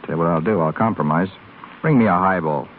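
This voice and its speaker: A man's voice: low-pitched, fast, natural voice. An actor playing a brash, sardonic young rich man in a 1930s radio drama. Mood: wry, challenging. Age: young adult. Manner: wisecracking, sardonic. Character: brash, flippant, restless young rich male hospital patient. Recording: scratchy, tinny 1930s radio broadcast recording.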